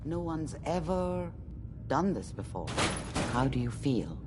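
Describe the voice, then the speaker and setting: A woman voicing a careful, concerned doctor in a video game, speaking in a deliberate, measured way. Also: deep voice, sing-song, over loud music, full character voice.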